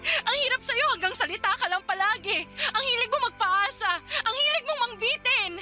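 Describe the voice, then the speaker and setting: A woman's voice: extremely high pitch, animated, moderate pace, loud music behind the voice. An actress playing a woman who presses her partner for commitment in a radio drama, pleading and confrontational. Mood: insistent.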